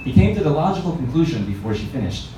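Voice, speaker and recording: A man's voice: fairly high pitch, sing-song, fast talker, dark tone. A man narrating a story aloud expressively, as a book reader. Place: reverberant room at a live reading event. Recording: echoey room, distant mic, faint noise.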